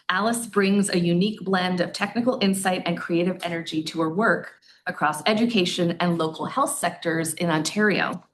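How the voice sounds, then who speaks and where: A woman hosting a live campus event, introducing speakers from a podium with the occasional filler and self-correction. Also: lowish voice. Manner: reads bios, with filler ums and small self-corrections. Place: lecture hall at an in-person event.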